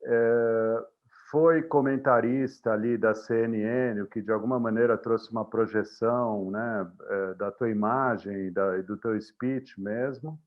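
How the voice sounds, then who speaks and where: A man's voice: lowish voice, steady tone, measured pace, narrow, tinny audio. A man with a Brazilian Portuguese accent hosting an interview, asking rambling questions full of restarts. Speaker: interview host. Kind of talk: casual interview talk.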